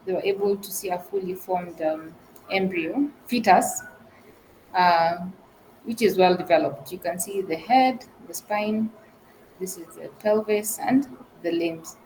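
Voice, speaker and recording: A woman with a mid-pitched voice gives a case presentation as a trainee, explaining images step by step. There is faint noise behind her voice. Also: unhurried, low-quality recording.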